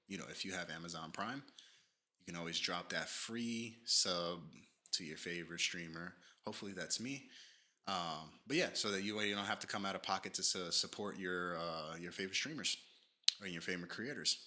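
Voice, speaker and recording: A low-voiced man talks through his stream in a loose, rambling way, full of fillers and restarts. His tone is bright and forceful, with a slight nasal edge from allergies. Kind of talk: casual livestream commentary, reading from a page.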